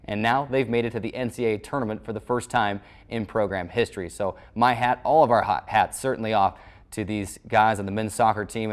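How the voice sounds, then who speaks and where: A man hosting a sports show, reading a scripted recap in formal broadcast phrasing. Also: fairly low pitch.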